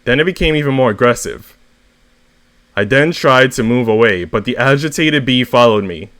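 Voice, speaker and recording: A man hosting an expressive read-aloud of short stories. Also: mid-range voice, normal speed.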